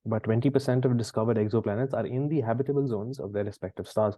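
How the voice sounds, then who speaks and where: A man's voice: medium pitch, fairly even intonation, fast talker, warm tone, no echo. A man hosting a quiz, reading questions and chatting conversationally with the players. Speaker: quiz host.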